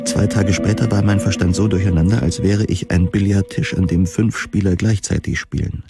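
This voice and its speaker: An actor playing a troubled government reviewer in a dystopian future, narrating a science fiction audio drama in a measured, introspective way. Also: male voice, low voice, vocal fry. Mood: brooding, uneasy. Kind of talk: scripted first-person audio drama narration. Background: music.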